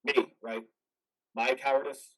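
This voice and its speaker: Man playing rough-voiced, haunted veteran Ajax. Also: medium pitch, little pitch movement, a little slow, close to an everyday voice. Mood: guilty, shaken. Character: haunted, guilt-ridden veteran soldier named Ajax. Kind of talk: play reading, modern drama.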